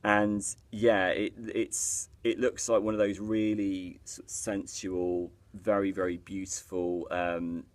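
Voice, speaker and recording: Young film vlog host, low voice, chatty, filler-heavy. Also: male voice, flat delivery, slow, hardly any pauses. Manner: chatty, laughs often, many fillers. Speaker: YouTube film review host. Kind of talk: casual film review chat.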